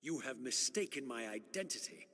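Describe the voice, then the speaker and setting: Husky, intense undead wraith. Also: male voice, medium pitch, lively intonation, moderate pace, a bit bright. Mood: sardonic. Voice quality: husky, rasping. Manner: formal, intense, theatrical. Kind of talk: dramatic video game acting. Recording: long reverberant hall.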